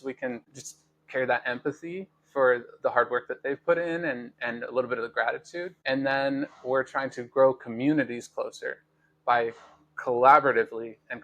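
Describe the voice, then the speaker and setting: A man's voice: mid-range voice, a bit bright, poor audio. A man hosting a podcast, explaining his work in an earnest, thoughtful way with frequent fillers and restarts. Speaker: podcast host, grower. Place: Los Angeles studio.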